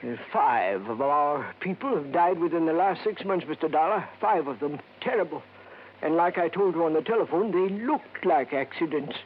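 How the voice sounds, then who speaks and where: A man's voice: fairly high pitch, expressive, normal speed, close to an everyday voice. An actor playing a wizened, folksy, insistent old American man in a wheelchair, in 1950s radio drama style, with clipped, hesitant phrasing. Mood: grave, troubled. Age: older. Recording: dull, noisy old radio recording.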